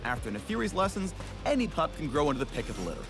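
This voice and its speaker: A man's voice: a little high, big pitch swings, rapid-fire. A man narrating a scripted video game character guide in a punchy, theatrical promotional style. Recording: music bed behind.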